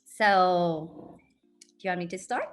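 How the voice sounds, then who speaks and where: A middle-aged woman from Colombia, a guest speaking earnestly about her path and giving advice, pausing often with ums, heard over a video call. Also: fairly low pitch, soft background music. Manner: earnest, reflective, hesitant with many ums. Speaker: university diversity officer, guest.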